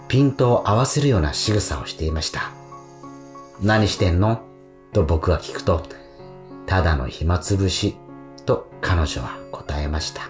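Dreamy awkward young man, very deep voice, wistful radio drama storytelling, guitar music behind. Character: dreamy, gently awkward young man recalling his romance. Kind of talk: radio drama monologue and dialogue. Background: plucked guitar music.

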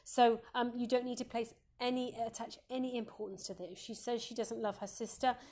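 Croaky, gentle woman giving parenting advice. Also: on the high side, little pitch movement, brisk. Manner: gentle, reassuring, with frequent ums. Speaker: Montessori educator and host.

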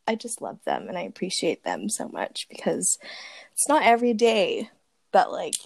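A woman's voice: mid-range voice, wildly swooping pitch, normal speed, gravelly, darkish tone, husky, no room sound. A young woman hosting a casual question-and-answer chat, talking in a bubbly, giggly way with lots of fillers. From Philippines. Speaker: host.

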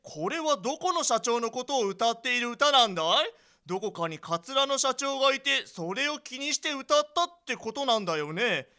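A man's voice: very high voice, animated, normal speed, bright, forceful tone, clear, resonant tone, full character voice. A man playing an oblivious, pompous company president in a comedic radio drama, with a jovial theatrical manner. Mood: amused, oblivious. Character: oblivious cheerful male company president, pompous when offended.

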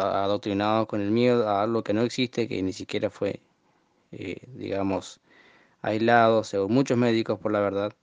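A man in his early twenties with a northern Argentine accent, speaking off the cuff in an earnest, indignant, halting monologue. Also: a little mellow, clean tone, no echo. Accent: northern Argentine Spanish. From Formosa, Argentina. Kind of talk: unscripted monologue to camera. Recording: muffled, dull low-fidelity audio.

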